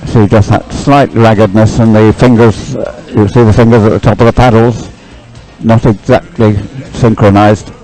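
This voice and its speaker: Hesitant low-voiced male sports commentator. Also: steady tone, moderate pace, mellow, soft background music, poor audio. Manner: hesitant, thinking aloud. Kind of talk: live race commentary.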